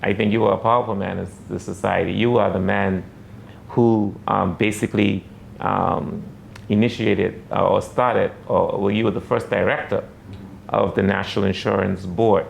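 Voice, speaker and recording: A man hosting an interview show, speaking hesitantly with many pauses and fillers. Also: on the low side, steady tone, vocal fry, slight background noise. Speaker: show host.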